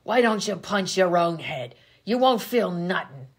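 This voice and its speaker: A woman playing a gruff, bossy 1920s vaudeville comedienne, slangy and sarcastic, in an old-time radio comedy sketch. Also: fairly low pitch, expressive, unhurried, full character voice. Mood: scornful. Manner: brash, slangy, sarcastic insults.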